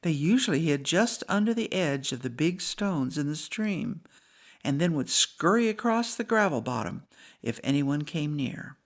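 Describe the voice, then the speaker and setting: A woman's voice: low-pitched, animated, normal speed. Woman narrating a children's storybook.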